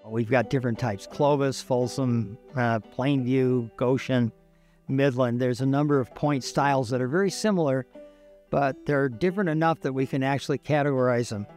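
An older man gives measured explanations as an archaeologist in a documentary interview. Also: mid-range voice, darkish tone. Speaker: archaeologist, stone-tool analyst. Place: outdoors, open yard at dig site. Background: music.

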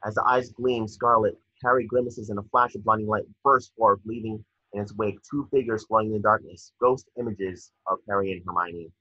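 A man's voice: lowish voice, fairly even intonation, brisk, few pauses, sharp tone, husky, no echo. A young man reading screenplay stage directions as narrator over a video call. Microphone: computer mic on video call. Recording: dull, dry video-call audio.

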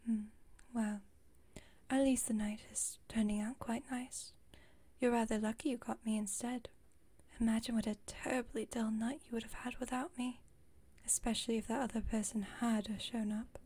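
A haughty, playful vampire woman acted by a woman whispers in a breathy, mellow voice. Her delivery stays fairly even, with formal, wry teasing and a protective streak, as in an intimate ASMR roleplay. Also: fairly high pitch.

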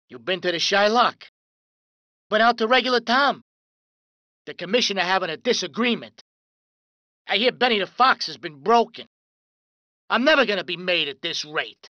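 A woman's voice: medium pitch, pushed and bright, no echo. A woman voicing a hot-tempered male mafia street thug from a video game, brash and profane. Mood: casual swagger. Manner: brash, profane, swaggering tough-guy talk. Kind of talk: reciting video game pedestrian lines. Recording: dull lo-fi dry recording.

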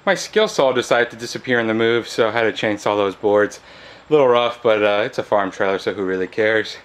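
Low-voiced man, casual DIY vlogger. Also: a little fast, a bit bright. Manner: laid-back, matter-of-fact. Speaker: host of a DIY fabrication channel. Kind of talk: casual build vlog commentary.

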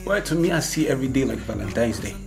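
A young man co-hosting a laid-back video chat, teasing in a loose, rambling way. His voice sits at a middle pitch and is caught by a webcam in a small room. Music plays clearly underneath. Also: lively intonation, fast. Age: young adult. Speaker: vlog co-host. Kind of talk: casual chat. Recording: music playing behind.

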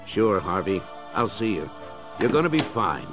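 An actor playing a kindly police commissioner, reassuring and a little hesitant, in a superhero audio drama. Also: male voice, fairly low pitch, sing-song, normal speed, music in the background. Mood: consoling. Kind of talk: full-cast superhero audio drama acting.

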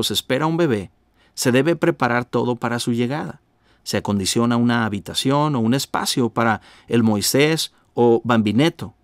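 A man in his thirties giving a calm, measured radio teaching, often asking the listener direct questions. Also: mid-range voice, no echo, studio quality. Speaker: pastor and radio host.

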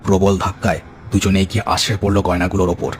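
Deep-voiced male horror story narrator. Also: little pitch movement, fast, slight background noise. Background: music.

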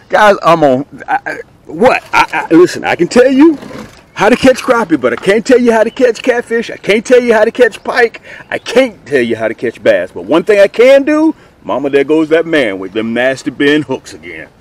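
A middle-aged man talking to viewers in a casual, folksy fishing vlog, emphatic and fond of repeating phrases. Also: on the high side. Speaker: host of a fishing channel. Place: outdoors on a small boat on a lake. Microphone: action camera mounted near him. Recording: outdoor noise, small action camera.

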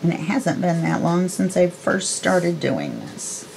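A woman's voice: lowish voice, huge pitch swings, measured pace, vocal fry, a little mellow, a little hiss or hum. A woman of about sixty chatting casually through a craft demonstration, thinking aloud in a folksy, self-deprecating way. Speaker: crafter presenting a tutorial. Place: indoors at a craft desk.

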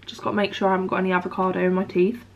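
A young woman chats to her camera at home, bright and upbeat. She has a cold, so her voice comes out nasal and croaky. She fills her talk with "like" and "um". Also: mid-range voice, monotone, rapid-fire, highs cut off. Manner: chatty, upbeat, lots of "like" and "um". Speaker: vlogger. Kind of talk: casual chat to camera. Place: bedroom at home.

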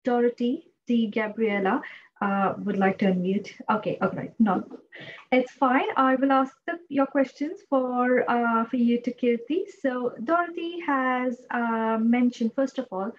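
A woman's voice: fairly high pitch, a little slow. A woman moderating a webinar Q&A, polite and a little hesitant, with many fillers, on a video call. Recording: dull video-call audio, faint noise.

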